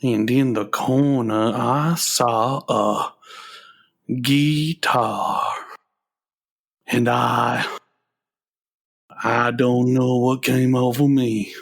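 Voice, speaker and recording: A man acting in a comic radio play as an earnest, anguished grown son and frustrated musician, halting and melodramatic. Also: mid-range voice, steady tone. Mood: reluctant, confessional.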